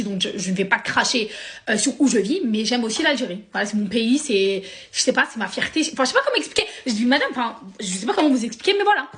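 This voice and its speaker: A young woman from France telling a sarcastic, animated story straight to her viewers. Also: fairly high pitch. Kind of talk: casual storytime monologue.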